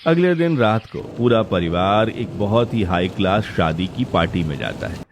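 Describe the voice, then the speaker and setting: A man's voice: on the low side, expressive, moderate pace, a little hiss or hum. A man narrating a scripted Hindi cartoon story as its storyteller.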